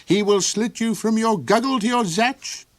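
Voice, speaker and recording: A man's voice: high-pitched, normal speed, a bit bright, voice pushed up. A man voicing a tavern tale-teller who sneers ominous warnings. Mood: sneering.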